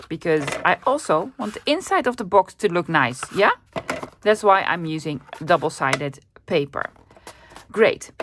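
A woman from the Netherlands with a Dutch accent, hosting a casual, chatty paper craft tutorial at her desk and often pausing with fillers. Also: mid-range voice, a bit bright, a little hiss or hum. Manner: chatty, self-deprecating, with frequent fillers. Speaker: craft channel host.

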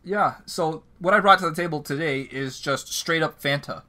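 A Canadian man co-hosting a podcast, chatting casually, ranting with animated, self-mocking energy. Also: a little high, normal speed. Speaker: podcast co-host. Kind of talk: casual chat. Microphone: video call.

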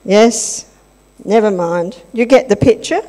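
A woman's voice: medium pitch, slow, warm tone. An older woman leading a playful children's talk in a church service, chatting and asking the children questions. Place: large church hall with congregation. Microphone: handheld microphone. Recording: long hall reverb.

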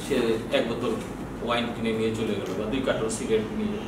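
A man in his sixties with a Bangladeshi accent talks quickly, explaining like an informal teacher who asks and answers his own questions. He is recorded far from the microphone in a strongly echoing office with clear background noise. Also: on the low side, little pitch movement. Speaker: customs bond official giving training. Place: small office with bookshelves, indoors. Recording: very echoey, distant mic, noisy room.

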